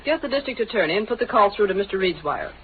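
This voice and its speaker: A woman playing a sharp-witted newspaper secretary with dry wisecracks in a 1930s radio drama. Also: medium pitch, expressive, quick pace, phone-quality sound, natural voice. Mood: wry. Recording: noisy, thin 1930s radio recording.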